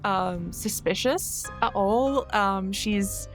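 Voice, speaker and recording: A woman's voice: mid-range voice, takes it slowly. A woman playing a tabletop game, casually describing her character with wry emphasis. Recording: music playing behind the voice.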